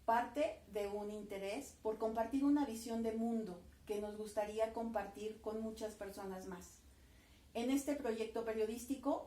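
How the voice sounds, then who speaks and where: A woman, asking interview questions in a calm, direct way. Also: mid-range voice. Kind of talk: interview questions and scripted intro. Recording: echoey room, mic at a distance.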